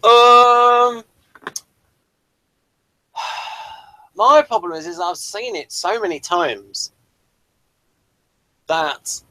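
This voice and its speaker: A man's voice: high-pitched, very slow, long pauses, sharp tone, dry recording, low-quality recording. A man co-hosting a casual episode review, rambling and repeating himself as he talks. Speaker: co-host of a TV review show.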